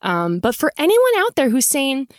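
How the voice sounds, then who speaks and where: A woman's voice: high-pitched, wildly swooping pitch, normal speed, no echo, studio quality. A woman hosting a podcast in a casual, blunt manner, swearing freely and poking fun at herself. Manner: blunt and profane, self-mocking. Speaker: podcast host.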